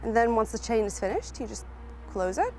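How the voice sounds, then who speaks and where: A woman's voice: a little high, sing-song, fairly quick, darkish tone, faint music behind. A woman from the dance group explaining crafts and customs in an interview.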